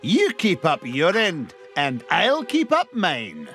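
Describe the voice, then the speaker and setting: A man's voice: a little high, wildly swooping pitch, takes it slowly, over loud music, full character voice. A man voicing a boastful, sneering rival tycoon duck. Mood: smug. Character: smug rival rich duck, boastful, cheating. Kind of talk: video game cutscene acting.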